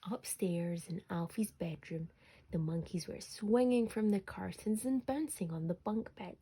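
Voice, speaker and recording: A woman storyteller narrating a children's bedtime story expressively, sometimes dropping to a hush. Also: mid-range voice, unhurried, breathy edge.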